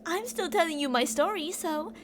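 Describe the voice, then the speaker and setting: High-pitched yandere kidnapper girl played by a woman. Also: animated, normal speed, slight background noise. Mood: smug, teasing. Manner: rambling, teasing, theatrical. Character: obsessive delusional female yandere kidnapper, rambling to captive. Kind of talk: ASMR roleplay.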